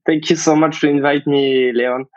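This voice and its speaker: French chef guest, accented, hesitant, slightly lo-fi dry recording. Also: male voice, a little high, steady tone, normal speed, no echo. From France. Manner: hesitant, repeats words, searching for English. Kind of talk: casual interview chat.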